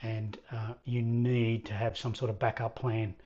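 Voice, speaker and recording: A man's voice: mid-range voice, level tone, unhurried, darkish tone, no room sound. Australian man vlogging, slightly dull audio. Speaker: vlog host. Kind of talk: casual chat to camera.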